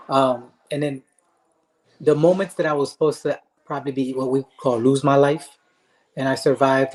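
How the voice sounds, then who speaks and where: A man's voice: mid-range voice, a little mellow, rough recording. Earnest Haitian-born man speaking of faith. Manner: earnest, faith-driven, with frequent "um" pauses. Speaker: guest, motivational speaker and life coach. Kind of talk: interview answers, earnest storytelling.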